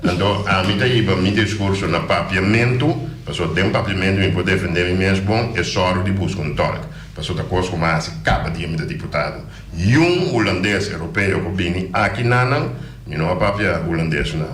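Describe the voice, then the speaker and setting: A man's voice: low voice, fairly bright tone, roomy sound, slight background noise. A man speaking as a politician guest on a radio show, passionate and emphatic, often repeating his words. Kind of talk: radio interview, casual talk. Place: radio studio.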